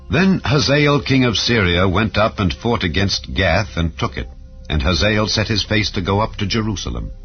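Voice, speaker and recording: A man giving a measured, solemn scripture reading over soft background music. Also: low-pitched, dull audio. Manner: measured, solemn reading with rising and falling phrases. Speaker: Bible reader.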